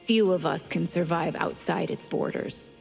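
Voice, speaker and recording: A woman's voice: lowish voice, moderate pace, gravelly. An actress playing a cold, austere warrior woman from another realm in video game dialogue. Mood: cold, grave. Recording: hall reverb, music behind.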